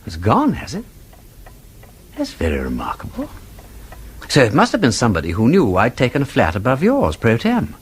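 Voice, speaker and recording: An actor playing a witty, talkative aristocratic sleuth in a radio drama, with an upper-class English accent and a playfully pedantic, theatrical manner. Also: male voice, medium pitch, wildly swooping pitch, normal speed, long pauses, husky. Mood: breezy, amused. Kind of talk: British radio drama acting.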